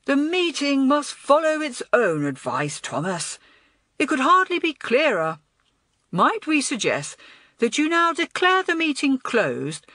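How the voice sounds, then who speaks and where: A woman voicing a composed headmistress in a storybook, formal, measured and authoritative. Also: sits high, wildly swooping pitch, a bit bright, dry recording, clean studio sound, pitched above the performer's own voice, heavily acted. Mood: firm, formal. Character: composed, formal older headmistress, firm and fair.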